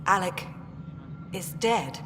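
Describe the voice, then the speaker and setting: An actress playing a stern, worried colonial official in a video game. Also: female voice, lowish voice, expressive, takes it slowly, background noise. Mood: grim, urgent. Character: stern, worried colonial affairs director, woman. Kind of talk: video game cutscene acting. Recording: reverberant hall with music behind.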